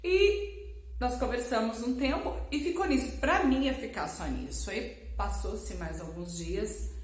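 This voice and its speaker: A Brazilian woman telling a personal story casually, in a chatty, expressive way, with a Brazilian Portuguese accent. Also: a little high, unhurried. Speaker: language teacher and vlogger. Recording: echoey, roomy sound.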